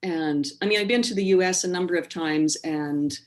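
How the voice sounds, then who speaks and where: A Canadian woman poet talking over a video call, measured and thoughtful, with many pauses and restarts. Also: on the low side, darkish tone. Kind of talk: online book launch conversation and poetry reading.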